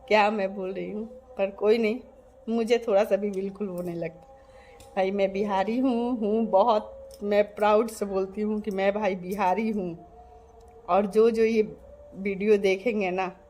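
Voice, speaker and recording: Woman from Bihar, vlogger, earnest, emphatic, repeats herself, mid-pitched. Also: a little mellow. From Bihar, India. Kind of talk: casual direct-to-camera monologue.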